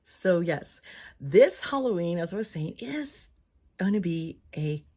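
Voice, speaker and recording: Hoarse, low-voiced woman chatting casually. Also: expressive, a little slow, a little mellow. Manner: chatty, rambling, laughs often between thoughts. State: hoarse, losing her voice. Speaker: tarot reader and channel host. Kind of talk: casual chat to camera.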